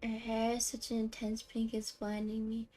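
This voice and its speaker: A woman's voice: fairly high pitch, monotone, normal speed, warm tone, faint music behind. A woman livestreaming a game, reacting casually in a gushing, playful way. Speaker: game streamer. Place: game livestream with game music behind.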